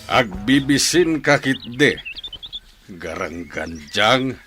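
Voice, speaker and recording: A man with an Ilocano Filipino accent playing a harsh, scolding father in a radio drama, gruff and berating. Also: lowish voice, big pitch swings, pushed and bright, soft background music, exaggerated voice. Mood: scornful. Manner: gruff, berating, drawn-out exclamations. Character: stern, harsh, scolding father of a young woman.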